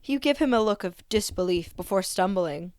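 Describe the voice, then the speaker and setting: A woman reading fan fiction aloud as an expressive narrator. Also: medium pitch, normal speed, dry recording.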